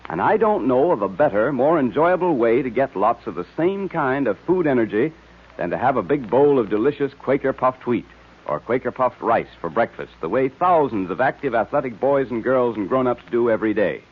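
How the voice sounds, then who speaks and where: A man's voice: medium pitch, sing-song, fairly quick, slightly rough. A man announcing and narrating in the style of a 1940s radio serial, with an emphatic, salesmanlike delivery. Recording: tinny old radio recording.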